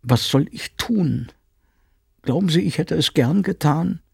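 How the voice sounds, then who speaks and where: A man's voice: on the high side, expressive, measured pace, creaky, husky, no room sound, studio quality, pitched above the performer's own voice, heavily acted. A man reading aloud, voicing a frightened thirty-eight-year-old housemaid with an urgent, raised manner. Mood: fearful, urgent. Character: anxious housemaid woman aged thirty-eight. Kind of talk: audiobook character voice.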